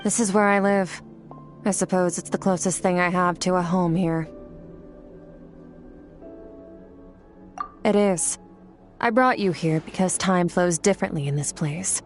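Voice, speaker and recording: Lady playing a frosty aloof game swordswoman, lowish voice, curt and cool, faint music behind. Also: close to an everyday voice. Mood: calm. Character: aloof powerful swordswoman, cold and businesslike. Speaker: game character.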